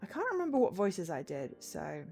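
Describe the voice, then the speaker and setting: Lively, lower-pitched woman game streamer. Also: brisk, gravelly, faint music behind. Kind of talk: live stream chat and reading game text aloud.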